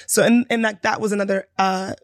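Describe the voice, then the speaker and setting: A woman with a New York accent and a hoarse voice, co-hosting a casual podcast chat and telling stories with frequent laughs. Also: mid-range voice, little pitch movement, fairly quick, no room sound, studio quality. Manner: storytelling, laughs often, swears casually. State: hoarse from a lost voice. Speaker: podcast co-host.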